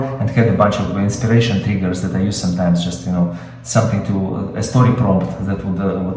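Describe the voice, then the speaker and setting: Man with a Russian accent, low voice. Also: darkish tone, very echoey, far from the mic, low-quality recording. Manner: halting, many fillers and restarts while explaining. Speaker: screenwriting teacher. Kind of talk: improvised webinar teaching. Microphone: video call.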